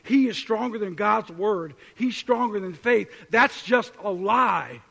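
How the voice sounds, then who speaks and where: A man preaching in short emphatic declarations. His voice sits high and gravelly. It rings out from some distance in a reverberant hall. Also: lively intonation, measured pace, fairly bright tone. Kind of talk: sermon.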